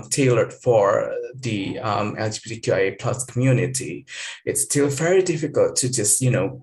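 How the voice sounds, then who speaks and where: A man in his twenties with an Indonesian accent, whose first language is Indonesian, speaks on a video-call panel. He talks earnestly and from personal experience, in a measured way with frequent ums. The sound is that of a webcam in a small room. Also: medium pitch, low-quality recording. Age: 20s. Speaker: panelist, researcher.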